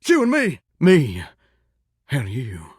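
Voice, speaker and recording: A man's voice: medium pitch, huge pitch swings, slow pace, husky, dry recording, studio quality, full character voice. A man voicing a flirty, dramatic living shower who dreams of singing stardom, with a folksy, effusive Southern American accent, in a video game. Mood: delighted, flirty. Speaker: dateable character in a video game. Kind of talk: animated video game voice acting.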